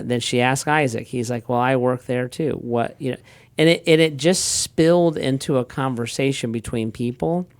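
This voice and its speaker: A middle-aged pastor talks in an easygoing, rambling way, often restarting sentences and acting out little exchanges. His voice is smooth and resonant, recorded close and dry. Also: medium pitch, dry recording. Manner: relaxed storyteller, frequent restarts and quoted asides. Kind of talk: casual conversational talk.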